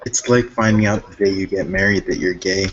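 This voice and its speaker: Deep-voiced young man, deadpan jokes. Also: fairly quick. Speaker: podcast guest. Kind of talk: casual live-stream chat. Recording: dull lo-fi audio with faint noise.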